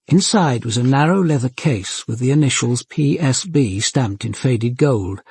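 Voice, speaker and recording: An actor playing an observant police detective, narrating a murder investigation in a grave, deliberate and precise way for a radio crime drama. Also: male voice, medium pitch, darkish tone, husky, dry recording, clean studio sound.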